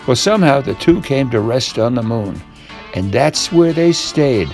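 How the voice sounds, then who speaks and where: An older man narrates a scripted tall tale for bedtime. His pitch rises and falls with lots of animation, and he stretches key words for effect. Soft guitar music plays behind him. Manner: expressive, deliberate storytelling with drawn-out key words. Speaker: storyteller.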